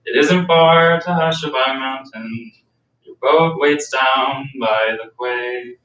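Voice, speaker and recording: A man playing a gentle consoler in a live staged play reading, reciting with a slow, soothing lilt. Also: medium pitch, highs cut off. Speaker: stage actor. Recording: roomy, distant mic, faint room noise.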